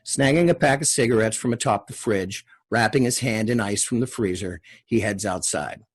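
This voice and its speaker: A man's voice: on the low side, normal speed, slightly rough. A man hosting and reading stage directions at a screenplay table read, with casual delivery. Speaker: host and stage-direction narrator. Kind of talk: scripted screenplay narration and casual introductions.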